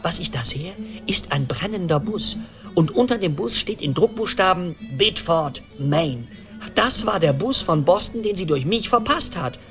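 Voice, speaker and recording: An actor in a radio play voicing an anxious middle-aged man who tells his story in an urgent, animated, rambling conversational monologue. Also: high voice, moderate pace, faint music behind. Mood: agitated, incredulous. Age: 40s.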